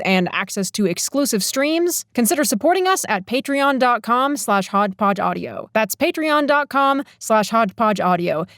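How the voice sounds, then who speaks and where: A woman's voice: on the high side, no room sound, clean studio sound. A woman hosting a podcast, reading scripted announcements in a playful way and addressing listeners directly. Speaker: podcast writer and director, host.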